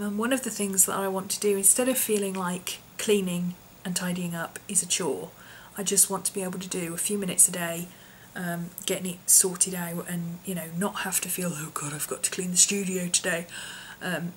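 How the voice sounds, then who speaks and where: A woman, her voice hoarse and husky from an infection, chatting casually in a vlog with lots of ums and small laughs. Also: fairly low pitch, fairly even intonation, brisk, hardly any pauses, a little hiss or hum, low-quality recording. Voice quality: husky, often whispery. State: hoarse, voice partly lost from infection. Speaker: vlogger.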